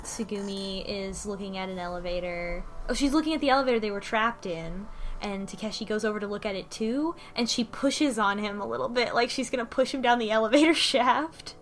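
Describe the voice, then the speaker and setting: A woman's voice: mid-range voice, slight background noise. A woman casually recapping and discussing a story, often stopping to correct herself. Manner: halting, self-correcting. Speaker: podcast host.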